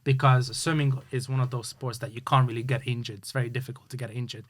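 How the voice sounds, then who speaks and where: A man talks through his story as an interview guest. His voice sits at an ordinary middle pitch. He speaks earnestly and halts often, with plenty of fillers and restarts. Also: steady tone, quick pace. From Hungary. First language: Hungarian. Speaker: guest, youth sports coach. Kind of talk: casual interview chat.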